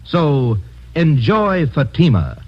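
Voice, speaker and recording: A husky-voiced man delivers an old-time radio advertisement with swooping, animated emphasis. The sound is dull, like a vintage broadcast. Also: slow. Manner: emphatic sales pitch. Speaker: commercial announcer. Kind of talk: 1950s radio advertisement read.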